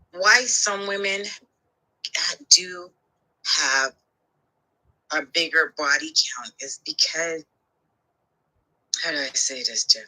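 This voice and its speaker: A woman's voice: deep voice, sharp tone, rough recording. A middle-aged woman from Trinidad calling in as a guest, chatting frankly and often checking that listeners agree. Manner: candid, conversational, seeking agreement. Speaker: call-in guest. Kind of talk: casual frank chat.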